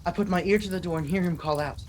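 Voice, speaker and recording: A man's voice: high-pitched, quick pace, a little hiss or hum, natural voice. An actor playing a desperate, obsessed husband who narrates his horror tale in an intense, melodramatic 1940s radio style. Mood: tense, grim.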